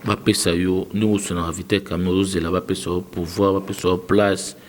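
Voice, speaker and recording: A man's voice: low voice, level tone, a little fast, a little hiss or hum. A man with a Congolese accent interviewing informally, often cutting in with challenges.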